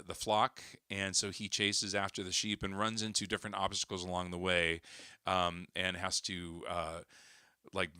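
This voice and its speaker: A man with a hoarse, recovering voice, chatting casually to viewers on his livestream with plenty of fillers and restarts. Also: deep voice, pushed and bright, no room sound, studio quality. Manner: rambling, many fillers and restarts. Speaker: worship singer hosting a livestream.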